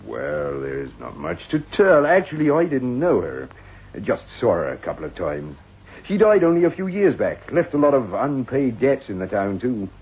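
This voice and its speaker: Chatty country estate agent in an old radio play, husky, bouncy pitch, tinny. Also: male voice, big pitch swings, normal speed. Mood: gossipy. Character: folksy, evasive village estate agent. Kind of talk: radio drama acting.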